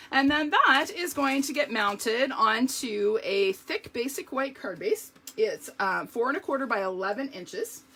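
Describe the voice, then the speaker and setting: A woman showing card-making step by step in a live video, chatty and talking to her viewers, her voice slightly hoarse. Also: medium pitch, sharp tone. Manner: chatty, explains each step, talks to viewers. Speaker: craft demonstrator.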